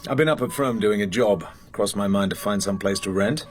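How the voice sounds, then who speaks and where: Actor as a low-voiced, suave English secret agent. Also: male voice, a little fast, soft background music, lightly acted. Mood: casual, charming. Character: suave, world-weary British male secret agent. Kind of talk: radio drama acting.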